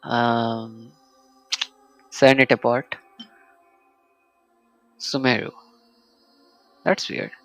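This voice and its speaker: Man, casual game streamer, creaky voice, slow halting delivery, muttering to himself, faint background music. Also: medium pitch, flat delivery, low-quality recording. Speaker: video game live streamer. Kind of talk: casual solo game stream commentary, reading item text aloud.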